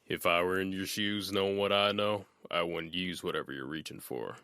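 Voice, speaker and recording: A man voicing a big, intense middle-aged henchman in a fan audiobook, speaking in a measured, quietly warning way. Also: sits low, vocal fry, clean tone, dry recording, clean studio sound, full character voice. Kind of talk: audiobook character voice.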